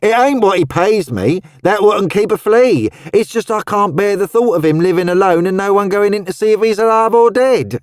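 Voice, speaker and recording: A man's voice: high-pitched, moderate pace, few pauses, no echo, clean studio sound, pitched above the performer's own voice, close to an everyday voice. A man doing a chirpy old Cockney charwoman. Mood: cheerful, chatty. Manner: chatty, homely, motherly. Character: cheerful wizened little old Cockney charwoman.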